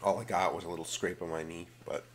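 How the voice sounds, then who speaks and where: A man hosting a casual, rambling ASMR video, slipping between vocal fry and whispering and often repeating his phrases. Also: low voice, little pitch movement, quick pace, slight background noise. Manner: alternates hushed fry and whispering.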